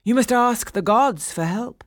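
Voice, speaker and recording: A woman voicing a chorus of living stone nymph statues in a storybook, speaking in a measured, solemn, admonishing way. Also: a little high, no room sound, clean studio sound, voice pushed up, lightly acted.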